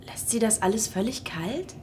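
An actress playing a caring, practical woman who talks in a gently persuasive way in a radio drama. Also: fairly high pitch, lively intonation, moderate pace, a little hiss or hum, lightly acted. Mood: worried. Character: caring, sociable, practical-minded female confidante. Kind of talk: German radio crime drama acting.

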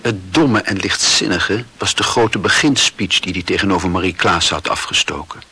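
A man with a deep, slightly forceful voice and a rough, husky edge narrates literary drama. His delivery is deliberate and reflective, with faint music behind him. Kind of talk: literary scripted narration in a radio play.

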